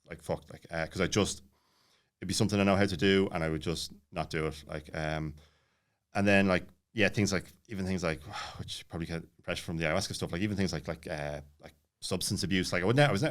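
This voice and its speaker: A man in his late thirties telling a personal story casually, rambling and jumping around with lots of filler words. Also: low-pitched. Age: late 30s. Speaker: podcast guest.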